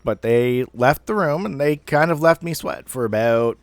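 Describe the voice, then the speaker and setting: A man in his thirties telling a personal story casually, in a rambling, halting way with some swearing. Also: medium pitch, lively intonation, no echo, soft background music. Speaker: amateur storyteller.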